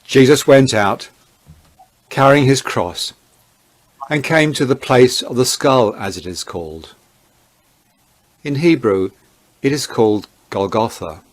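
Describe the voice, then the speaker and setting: A man narrating a dramatized Bible reading in a measured, deliberate way. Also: mid-range voice, animated, rough recording.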